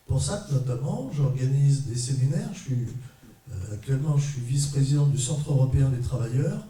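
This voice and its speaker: A middle-aged man from France giving a conference talk to an audience as a trade unionist, measured and emphatic, in short repeated phrases. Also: mid-range voice, lively intonation, mellow. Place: large echoey parish hall. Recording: echoey hall, mic far off.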